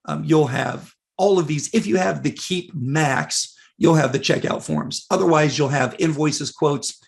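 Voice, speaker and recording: A man with a raspy voice, losing it and clearing his throat, casually presenting a live software webinar with many fillers. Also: on the high side, measured pace. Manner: casual, many fillers, reads out viewer questions.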